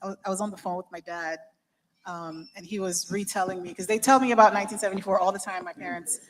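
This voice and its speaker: Lively woman storyteller in an echoey hall. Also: mid-range voice, crowd noise. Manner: animated, quick storytelling. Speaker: panelist. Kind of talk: casual panel storytelling. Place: event venue with a large hall.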